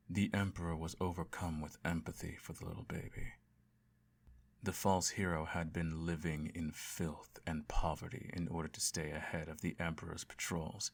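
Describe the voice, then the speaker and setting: A man playing a self-righteous evil emperor and doting father, telling a bedtime story in a measured, grandiose way in an audio roleplay. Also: deep voice, creaky, slightly rough, full character voice.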